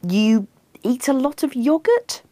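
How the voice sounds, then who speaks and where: A woman's voice: lowish voice, very animated, a little slow, dark tone, no room sound. A woman voicing a sleepy, exasperated husband who grunts and answers reluctantly in a comic book reading. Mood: despairing. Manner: grunting, despairing, reluctant replies.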